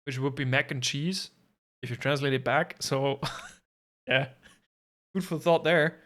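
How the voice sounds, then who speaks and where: A man's voice: mid-range voice, measured pace, fairly bright tone. A man giving casual live commentary on an esports match from his home setup, thinking aloud and adding self-deprecating asides. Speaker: esports co-streaming caster.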